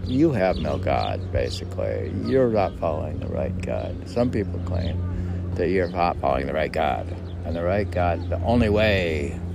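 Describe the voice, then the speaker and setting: A man reading his own verse and rambling in spontaneous commentary, musing aloud and answering his own questions. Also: deep voice. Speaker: poet and podcast host. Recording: clearly noisy background.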